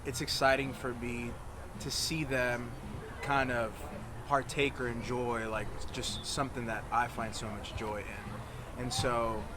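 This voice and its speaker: A man's voice: medium pitch, little pitch movement. Earnest young Mexican-born man, noisy backdrop. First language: Spanish. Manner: earnest, halting with many restarts. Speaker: volunteer. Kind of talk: casual testimonial.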